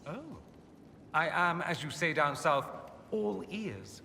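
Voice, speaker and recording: An actor playing a witty, flamboyant and sardonic mage in a fantasy game, with an arch, teasing delivery. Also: male voice, on the high side, animated, slow pace, vocal fry, pushed and bright, big-room sound, slight background noise, heavily acted. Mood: playful, arch. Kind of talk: scripted fantasy game dialogue.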